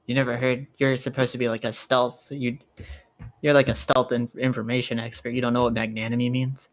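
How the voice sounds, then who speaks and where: A woman's voice: very deep voice, level tone, a little fast. Sardonic woman in casual roleplay banter. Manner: sardonic, blunt, swearing. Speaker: player in a tabletop roleplay. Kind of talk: casual, improvised in-character chat.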